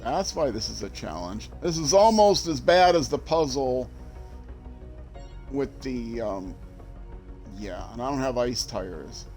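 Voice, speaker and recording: A man's voice: medium pitch, expressive, takes it slowly, long pauses, darkish tone, faint music behind. An older man from Minnesota with a Minnesota accent, rambling and self-deprecating as he streams a driving game. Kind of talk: casual gameplay commentary.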